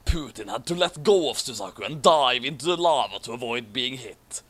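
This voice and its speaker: A man's voice: medium pitch, lively intonation, normal speed, a bit bright. A man reading a story aloud with casual, amused commentary. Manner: amused, prone to laughing. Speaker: reader of a fan fiction commentary.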